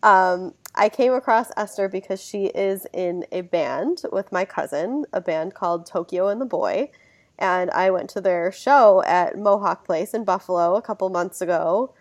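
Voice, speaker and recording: Slightly hoarse woman hosting a podcast. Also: medium pitch, moderate pace, a little mellow. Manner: friendly, upbeat greetings with fillers. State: hoarse from a cold. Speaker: podcast host. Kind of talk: casual interview.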